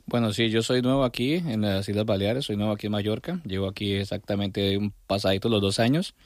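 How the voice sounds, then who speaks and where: Lowish-voiced middle-aged Colombian man. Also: brisk, darkish tone, no room sound, studio quality. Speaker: sports club director and coach, interview guest. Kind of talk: conversational interview answers.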